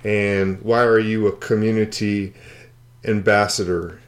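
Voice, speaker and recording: A man's voice: fairly low pitch, level tone, measured pace. A man hosting an interview show, upbeat and encouraging toward his guest. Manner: upbeat, encouraging, frequent backchannels. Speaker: show host.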